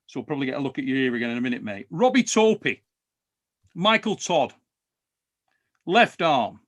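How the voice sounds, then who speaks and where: A man's voice: mid-range voice, animated, normal speed, a bit bright. Chatty man hosting a live chat. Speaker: host.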